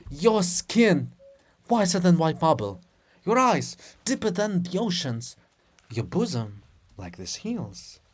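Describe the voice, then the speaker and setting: A man's voice: a little high, big pitch swings, takes it slowly, husky, heavily acted. A man voicing a lovesick monument of an apostle, pleading floridly and melodramatically as a scorned suitor in a farce. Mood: florid wooing.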